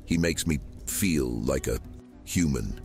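An ancient, burdened djinn hiding inside a vampire. The voice is rock-bottom low and crackles with gravel. It belongs to a fantasy roleplay audiobook, with soft music underneath. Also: male voice, expressive, takes it slowly, full character voice. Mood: troubled.

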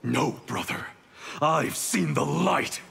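A proud villainous ninja master in a fighting game. The voice is extremely gravelly and raspy, slow and commanding, full of cold menace. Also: male voice, fairly high pitch, animated, fairly bright tone, heavily acted. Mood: cold, menacing. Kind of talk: dramatic fighting game dialogue.

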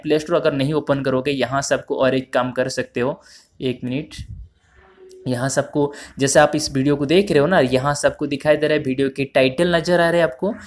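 Guy doing a casual phone how-to, voice a bit high, repeats steps, talks to viewers like friends. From India. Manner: repetitive, step-by-step explaining, addressing viewers as friends. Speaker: tutorial host. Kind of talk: informal phone app tutorial narration.

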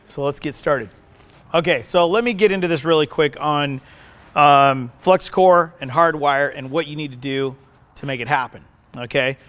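A man's voice: on the high side, moderate pace, fairly bright tone. A middle-aged man giving a casual welding demonstration in a workshop, folksy and conversational, often checking in with "okay?". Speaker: welding instructor. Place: large indoor welding workshop.

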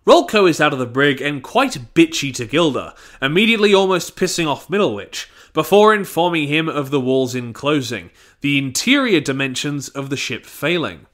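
Animated, bright-voiced man reviewing. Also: on the high side, normal speed, hardly any pauses. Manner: sweary, blunt, opinionated. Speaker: reviewer, channel host. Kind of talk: scripted review narration.

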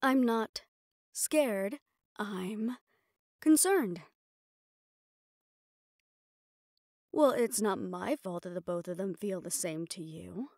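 A woman's voice: mid-range voice, a little mellow, dry recording, clean studio sound. A woman playing a stressed, foul-mouthed, fiercely loyal mafia assistant in an audio roleplay, exasperated and clipped. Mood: indignant. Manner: exasperated, clipped, heavy swearing, sighs. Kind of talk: dramatic audio roleplay, close solo performance.

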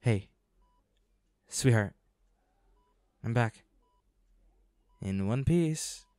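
A man's voice: mid-range voice, expressive, drawn-out words, a little mellow, no echo, studio quality. A man playing a protective, devoted hunter boyfriend in a dramatic roleplay audio, speaking earnestly and intensely. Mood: tender, weary.

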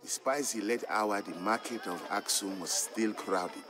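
Man acting a low-voiced trader father telling legends. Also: natural voice. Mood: reflective, storytelling. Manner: measured, fond storytelling. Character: Ethiopian trader and father, patient storyteller of legends. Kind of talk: scripted storybook narration in a game. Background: background music.

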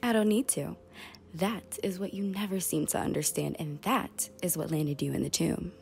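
An actress playing a rich, condescending crime lord, smug and controlled, in an audio drama. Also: female voice, on the low side, fairly even intonation, normal speed, creaky, a little mellow, breathy edge, full character voice. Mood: cool disdain.

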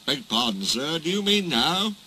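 A man's voice: fairly high pitch, very animated, moderate pace, bright, forceful tone, a little hiss or hum, full character voice. A man voicing a hard-working little storybook engine, grave, polite and dignified. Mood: resigned. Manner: grave, polite, plain-spoken. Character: small hard-working engine, earnest, dignified, put-upon.

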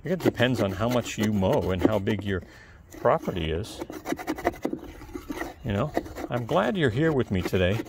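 Calm, gravelly man chatting outdoors. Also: lowish voice, unhurried, long pauses, very noisy. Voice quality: soft, calm voice. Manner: chatty, rambling asides to the viewer. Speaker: video creator. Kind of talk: casual how-to talk while working. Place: outdoors on a lawn. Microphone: camera held close, point of view.

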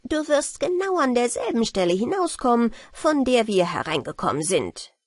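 A woman's voice: on the high side, sing-song, normal speed, no echo, clean studio sound. A woman voicing Kumara, a jovial old merman. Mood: matter-of-fact. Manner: jovial, coaxing, matter-of-fact. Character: old male merman, genial, hospitable host. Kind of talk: storybook character voice.

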